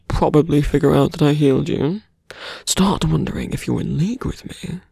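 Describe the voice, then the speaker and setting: A performer playing a seductive villain in an intimate ASMR roleplay, taunting and persuasive, often dropping to a breathy whisper. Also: medium pitch, warm tone, dry recording, studio quality. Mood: taunting, menacing. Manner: slow, deliberate, taunting.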